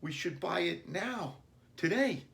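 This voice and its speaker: Storybook kid role, male reader: excitable boy of ten, high, pleading, eager. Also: takes it slowly, vocal fry, exaggerated voice. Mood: upset, urgent. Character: eager, excitable ten-year-old boy wanting a puppy.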